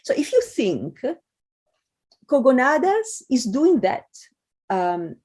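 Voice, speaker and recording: A woman from Italy with an Italian accent, a scholar answering interview questions in a thoughtful way with frequent pauses and fillers. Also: high-pitched, wildly swooping pitch, darkish tone, slightly rough. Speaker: guest scholar.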